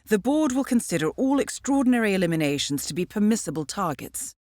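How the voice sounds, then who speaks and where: A woman playing a cool, composed intelligence handler in a video game, giving mission briefings in a measured, formal, dryly witty manner. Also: medium pitch, sing-song, darkish tone, no echo, studio quality, natural voice. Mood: composed, businesslike.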